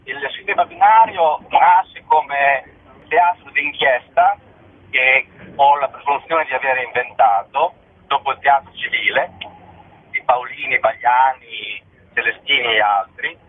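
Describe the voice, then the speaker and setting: A man speaking as a guest in a radio interview, explaining his work with deliberate, declarative phrasing. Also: mid-range voice. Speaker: playwright and performer, interview guest. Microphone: telephone. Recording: tinny, band-limited telephone line.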